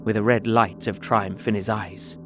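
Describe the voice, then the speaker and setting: A man's voice: fairly low pitch, level tone, normal speed, close to an everyday voice. An actor playing a fearful, earnest young Englishman, narrating his journal in a dramatic audio drama. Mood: defeated dread.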